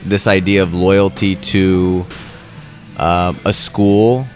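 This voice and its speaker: Brief: male podcast co-host, lowish voice, relaxed and explanatory, faint music underneath. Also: slow pace. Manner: relaxed, explains at length, some restarts. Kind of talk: casual chat.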